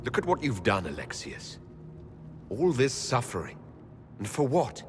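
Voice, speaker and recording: An actor playing a compassionate, earnest hero in a video game, speaking directly. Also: male voice, mid-range voice, wildly swooping pitch, unhurried, noisy background. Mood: stern appeal. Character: compassionate, earnest male hero leading the party. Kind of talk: dramatic video game dialogue.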